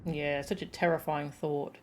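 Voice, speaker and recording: A woman's voice: deep voice, little pitch movement, a little slow, background noise. A woman game streamer giving casual live reactions as she plays.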